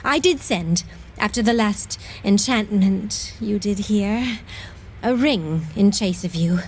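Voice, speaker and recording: A woman performs a highborn, lovestruck lady in a Shakespearean audio drama. The delivery is slow and stately, at a middle pitch, with pride under the longing. Background noise is audible behind the voice. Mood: ashamed, pleading. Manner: measured, sighing, aristocratic. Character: proud, lovestruck noble lady. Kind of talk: Shakespearean audio play acting.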